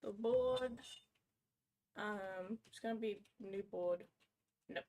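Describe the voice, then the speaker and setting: A woman's voice: medium pitch, takes it slowly, dark tone. A woman co-hosting a casual livestream, scattered and self-mocking as she talks herself through her own fumbles. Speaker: livestream co-host.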